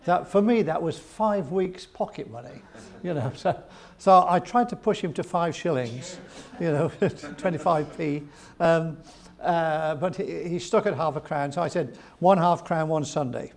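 Older man with a gravelly voice. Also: fairly high pitch, unhurried, few pauses. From England. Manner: rambling, with chuckles and restarts. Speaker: guest. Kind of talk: storytelling interview.

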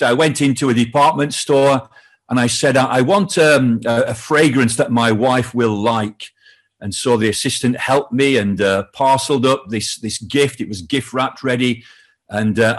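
An older man with a northern English accent preaches a sermon in a relaxed, storytelling way. He pauses often with "uh" as he talks. His voice sits at an ordinary middle pitch for a man. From north of England. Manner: conversational storytelling with frequent "uh" pauses. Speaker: pastor.

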